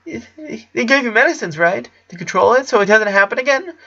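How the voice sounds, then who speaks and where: A man voicing an anxious young boy, a loyal best friend, with a high, strained voice in a story reading. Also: expressive, normal speed, gravelly, bright, forceful tone, dull audio, full character voice. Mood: confused.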